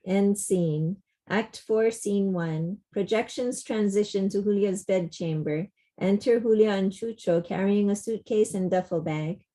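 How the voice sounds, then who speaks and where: Mellow woman reading stage directions. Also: medium pitch, unhurried, few pauses. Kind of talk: scripted narration at a play table read. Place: indoors, on a video call.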